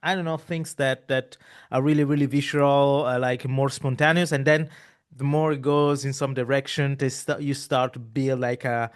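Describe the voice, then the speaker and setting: A man's voice: medium pitch, level tone, moderate pace, smooth. Man, rambling host. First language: Italian. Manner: rambling, with many restarts and repeated words. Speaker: podcast host. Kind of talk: casual interview chat.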